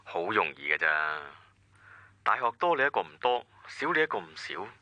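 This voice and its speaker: A weary, stubborn businessman in a radio play. His voice is very low yet bright and forceful, with a Hong Kong accent. It sounds close and dry. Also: big pitch swings, a little fast, no echo, exaggerated voice. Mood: persuasive. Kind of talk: radio drama acting.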